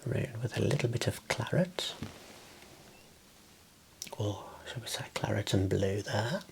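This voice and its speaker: A man in his thirties whispering gently and soothingly in an ASMR roleplay as an optician, close to the mic in a small room. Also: on the low side, expressive, measured pace, creaky, slight background noise. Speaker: ASMR performer playing an optician.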